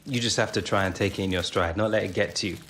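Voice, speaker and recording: Young footballer, echoey room. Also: male voice, sits low, fairly even intonation, a bit bright, a little hiss or hum. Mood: earnest, composed. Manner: measured, modest interview answers. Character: modest, determined eighteen-year-old male footballer. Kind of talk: video game cutscene acting. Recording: echoey room with faint music.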